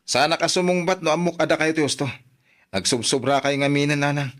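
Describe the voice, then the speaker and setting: An actor playing an exasperated grown son who remonstrates earnestly, with an Ilocano accent, in a radio drama. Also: male voice, a little high, a little fast, fairly bright tone, natural voice. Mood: protesting. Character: exasperated grown son protesting to his mother.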